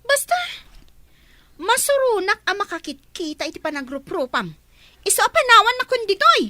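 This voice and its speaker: A woman's voice: extremely high pitch, sing-song, moderate pace, sharp tone, no room sound, full character voice. An actress with an Ilocano accent voicing a spoiled, rude teenage schoolgirl who snaps at people. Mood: haughty scorn. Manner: sulky, haughty, snapping.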